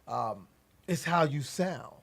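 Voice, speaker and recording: A man's voice: a little high, animated, slow pace, vocal fry, fairly bright tone. A man interviewing an artist, asking long questions with frequent restarts and repeated words.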